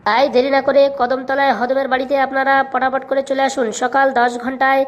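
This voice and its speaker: Woman as high, rapid-talking cheeky village lad. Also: flat delivery, muffled recording, close to an everyday voice. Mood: mock-formal. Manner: mock-formal, urging. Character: cheeky, meddling young village lad making announcements. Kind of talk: comic cartoon village announcement.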